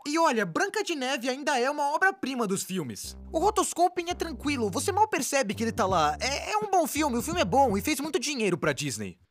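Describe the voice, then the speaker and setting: A woman with a Brazilian accent voicing an excitable, wry cartoonist narrator in a dubbed comic explainer, informal and exaggerated in delivery. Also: high voice, a little fast, few pauses. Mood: wry, enthusiastic. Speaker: narrator of an animated explainer video.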